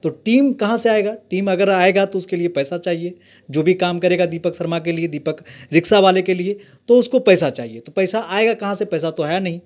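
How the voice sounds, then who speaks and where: Guy, kinda high voice, lots of uh's, journalist talking it through in an interview. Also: brisk, darkish tone. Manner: hesitant, many fillers. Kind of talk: interview chat.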